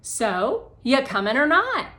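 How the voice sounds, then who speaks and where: A woman's voice: sits high, sing-song, slow pace, pushed and bright, pitched above the performer's own voice, heavily acted. Woman as a plucky, confident schoolgirl. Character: friendly, confident, plucky schoolgirl on quiz team.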